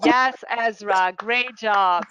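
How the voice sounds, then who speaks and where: A middle-aged woman from Serbia with a Serbian accent, formally presenting an award ceremony as a debate teacher in a courteous, deliberate way. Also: mid-range voice, pushed and bright, dull audio, a little hiss or hum.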